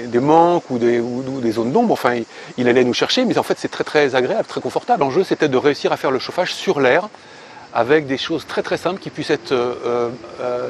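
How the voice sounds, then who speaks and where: A man talks quickly in a medium-pitched voice, giving casual interview testimony. He is colloquial and repeats words as he goes, with some ambient noise behind him. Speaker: building design professional. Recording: noticeable ambient background noise.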